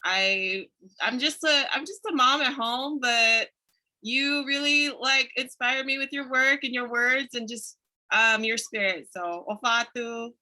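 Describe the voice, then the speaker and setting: Mom from hawaii on zoom, high-ish bright voice, kinda shy. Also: female voice, a little slow, low-quality recording. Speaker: book club member, mother. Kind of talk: casual video call intro. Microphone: video call.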